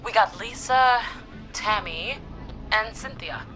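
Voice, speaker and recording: A woman voicing a gutsy, nervy teenage girl who leads an escape plan in a video game, excitable and rallying. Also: a little high, slow, poor audio. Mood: determined, upbeat. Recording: music behind the voice.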